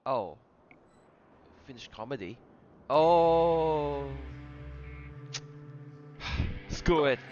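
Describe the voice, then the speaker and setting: A man's voice: fairly high pitch, expressive, very slow, pauses often, a little mellow, lo-fi sound. A man giving casual playthrough commentary, muttering and trailing off, often breaking into giggles. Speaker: game streamer. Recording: game music behind the voice.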